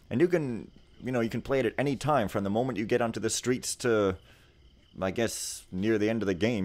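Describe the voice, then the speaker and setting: A man's voice: fairly low pitch, a little mellow. Laid-back man rambling over gameplay. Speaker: let's play host. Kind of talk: casual gameplay commentary.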